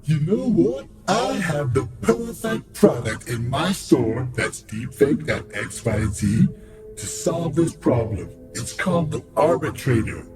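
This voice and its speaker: A man's voice: fairly high pitch, very animated, slow, over loud music. A man playing a glib, scheming salesman and inventor in a radio play. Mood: scheming pitch. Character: slick male huckster inventor, scheming salesman.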